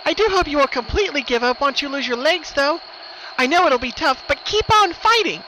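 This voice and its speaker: A twisted female pony who delights in cruelty, in a dramatic storybook-style reading. Her voice is high and bright, cheerful and sing-song with playful menace. There is faint noise in the recording. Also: lively intonation, fairly quick, rough recording. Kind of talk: dramatic fan fiction reading.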